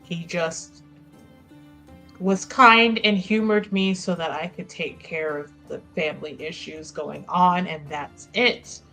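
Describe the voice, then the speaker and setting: Lady roleplaying at a tabletop game, talks slow, hesitant, says things twice. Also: on the low side, steady tone, sharp tone, faint music behind, rough recording. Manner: hesitant, repeats phrases. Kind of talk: casual in-character improvised dialogue.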